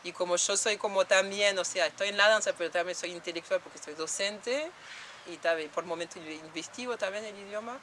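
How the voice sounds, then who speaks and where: A woman's voice: mid-range voice, a little fast, a bit bright, slight background noise. A woman from France in an interview, speaking reflectively with many fillers and restarts. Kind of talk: documentary interview.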